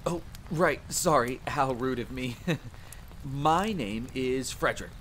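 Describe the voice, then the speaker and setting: An actor playing a friendly, talkative, excitable young spearman in an immersive fantasy roleplay audio drama, with an eager, bantering manner. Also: slow. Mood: friendly, chatty. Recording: constant rain and rumble behind voice. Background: rain, campfire ambience, rolling thunder.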